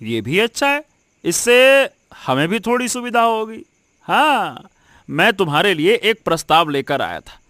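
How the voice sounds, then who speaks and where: Male actor as benevolent elder merchant, cartoon story, high voice, animated pitch, persuasive, sensible, generous. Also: a little slow, dry recording, clean studio sound, exaggerated voice. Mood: kindly offering. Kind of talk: Hindi cartoon story voice acting.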